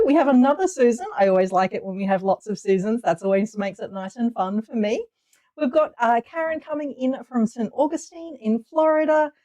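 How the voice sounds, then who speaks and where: A woman's voice: on the high side, darkish tone. A woman hosting a casual livestream, chatty and upbeat while getting over a cold. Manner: chatty and upbeat, reading viewer names aloud. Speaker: livestream host. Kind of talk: casual livestream chat greeting viewers.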